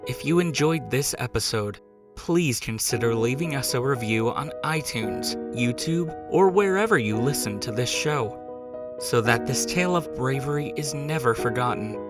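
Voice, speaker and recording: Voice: mid-range voice, lively intonation, moderate pace. Show host, scripted outro and credits over a music bed. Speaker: podcast host.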